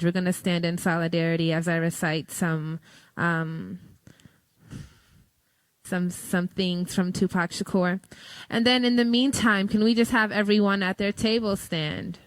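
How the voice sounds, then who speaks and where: A woman's voice: fairly low pitch, dry recording. Woman session leader, casual crowd-directing tone, dry close mic. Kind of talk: addressing a conference crowd. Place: large conference hall.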